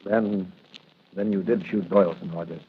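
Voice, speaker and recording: A masked vigilante in an old radio serial, urgent and commanding. His voice is lowish and very husky, heard through a tinny, narrow-band recording. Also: steady tone, normal speed. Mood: probing. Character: masked male vigilante hero, brisk and commanding. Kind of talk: 1940s radio acting.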